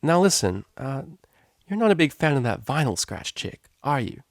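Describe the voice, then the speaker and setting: A brash young unicorn mare from a storybook, voiced by a man, pitched up from his normal talk. She is slangy and pushy, a casual schemer who stumbles on the odd "uh". The sound is close and dry. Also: sing-song, dry recording. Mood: sly. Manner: slangy, pushy, hesitant pauses.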